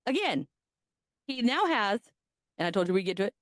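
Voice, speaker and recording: Lively, bawdy woman podcast host. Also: medium pitch, normal speed, no room sound, studio quality. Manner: bawdy, joking, laughs often. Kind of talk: casual podcast chat retelling a story.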